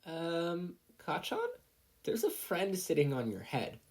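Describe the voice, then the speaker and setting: An earnest, sensitive little boy , put on by an audiobook narrator, who is stubborn and insistent. The voice is lifted well above the narrator's own, lively and a touch husky. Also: medium pitch, slow pace, voice pushed up, heavily acted. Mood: earnest, hesitant.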